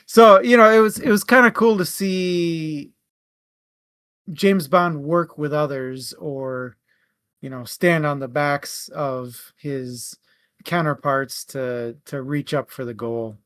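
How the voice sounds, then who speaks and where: Higher-pitched man, unhurried casual talk. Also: fairly bright tone, clean tone, dry recording. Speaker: book club show co-host. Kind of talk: casual book discussion.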